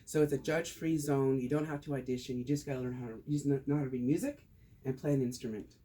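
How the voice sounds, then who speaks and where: A man's voice: mid-range voice, fairly even intonation, fast, dark tone. A man talking casually to a small group, then presenting on taxes with jokes and rhetorical questions. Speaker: tax advisor giving a talk.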